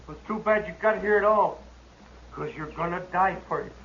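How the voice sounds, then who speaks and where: A man's voice: high voice, a little fast. An American actor playing a hot-headed, frightened young rifleman in a 1950s radio western, defiant and agitated. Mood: defiant, accusing. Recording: dull old radio recording, faint noise.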